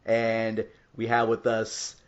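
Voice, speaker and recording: A man's voice: lowish voice, completely flat delivery, slow pace, clear, resonant tone. A man hosting a podcast in a casual, somewhat hesitant and apologetic way, with informal introductions. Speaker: podcast host. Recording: dull lo-fi voice recording.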